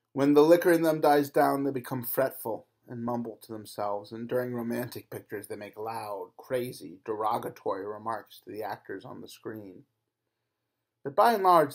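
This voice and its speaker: A man reading an audiobook aloud in a measured narrating voice, with emphatic lifts. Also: medium pitch. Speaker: audiobook reader.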